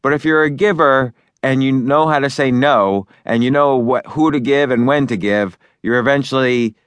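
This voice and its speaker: A man's voice: mid-range voice, normal speed, clear, resonant tone, dry recording. A man narrating his own book in a loose, conversational way, with fillers and restarts as he talks over each point. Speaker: author and narrator.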